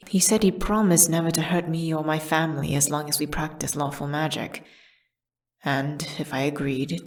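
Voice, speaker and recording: Casting: female actor as condemned witch for a fantasy ASMR drama, low register, breathy and measured, solemn, tragic storyteller. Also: darkish tone. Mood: somber, wistful. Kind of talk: dramatic first-person ASMR audio storytelling.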